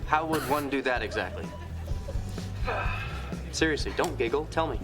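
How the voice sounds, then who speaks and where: A man's voice: medium pitch, expressive, moderate pace, fairly bright tone, soft background music, lightly acted. An actor playing a brash, boastful womanizing man in a sitcom, talking in a cocky, commanding way. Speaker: sitcom character.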